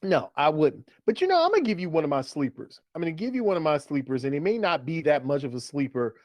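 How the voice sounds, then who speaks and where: Higher-voiced man hosting casual sports chat. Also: very animated, fast, a little mellow, dry recording. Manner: colloquial, conversational, repeats phrases for emphasis. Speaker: fantasy football podcast host. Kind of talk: casual sports chat, steering segments and questions.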